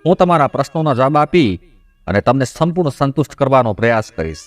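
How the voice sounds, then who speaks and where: A man's voice: mid-range voice, sing-song, fast talker, no room sound, soft background music, voice pushed down, close to an everyday voice. A man voicing a serene male god who patiently instructs his consort in a formal, reassuring way, in a devotional story. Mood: patient, instructing.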